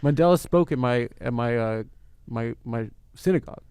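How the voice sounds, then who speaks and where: A man guesting on a podcast, talking in a deadpan, self-deprecating, mock-indignant way. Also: mid-range voice, moderate pace, smooth, no room sound. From born in Santa Monica, grew up in Las Vegas. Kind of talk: deadpan casual chat.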